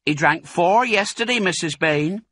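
A man's voice: high-pitched, expressive, normal speed, sharp tone, pitched above the performer's own voice, heavily acted. A man doing a big, dim farm woman. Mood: plodding. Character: big, slow-witted young farm woman servant.

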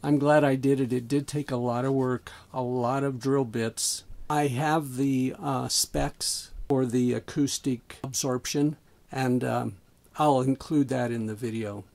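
An older man with a gravelly, dark voice gives a casual, unhurried walkthrough, pausing with the odd "uh." It sounds like it was picked up on a handheld camera mic in a small wood-paneled basement room. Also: mid-range voice, steady tone. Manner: plain, unhurried explaining with fillers. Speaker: hobbyist showing his home music room.

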